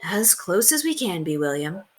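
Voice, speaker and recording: Storybook mother, read by a woman: caring, patient, reassuring, with lively intonation. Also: on the low side, moderate pace, husky. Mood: gentle, soothing. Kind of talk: children's storybook read-aloud.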